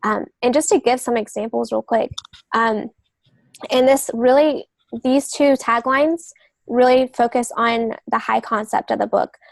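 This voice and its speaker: A woman's voice: on the high side, fairly even intonation, unhurried, gravelly, no echo, rough recording. A woman speaking as a guest on a writing podcast, giving conversational advice in an earnest, explanatory way. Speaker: guest novelist and literary agent.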